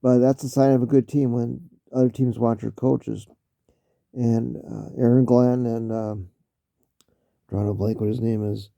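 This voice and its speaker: A man with a very dark, gravelly voice talks football in a slow, halting ramble full of fillers and restarts. His voice is going, so he keeps stopping to clear his throat. The sound is dry and a little dull, like a cheap home mic. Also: medium pitch, flat delivery, dry recording. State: losing his voice. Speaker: vlogger talking football. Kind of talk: casual spoken commentary.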